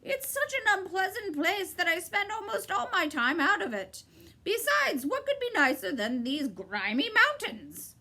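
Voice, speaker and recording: A woman putting on a shrill cackling bird. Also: very animated, a little slow, bright, forceful tone, exaggerated voice. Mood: sad. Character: pompous, meddling male dirty bird. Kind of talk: storybook reading.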